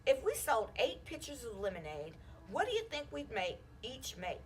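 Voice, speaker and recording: An eager, pushy young boy from a children's storybook , voiced by a woman. The pitch is raised and bounces around keenly, over faint background noise. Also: huge pitch swings, moderate pace. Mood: hopeful. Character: eager scheming young boy, a bit exasperated.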